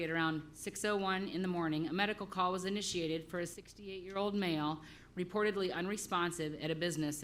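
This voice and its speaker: A woman police chief reading a formal recognition at a council meeting in a steady, factual way. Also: fairly low pitch, slight background noise. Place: council chamber.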